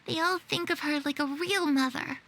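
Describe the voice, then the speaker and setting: A woman's voice: high voice, normal speed, a bit bright, a little hiss or hum, poor audio. A woman playing a disillusioned, secretive young girl in a video game, speaking with wistful, bitter resignation. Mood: resentful.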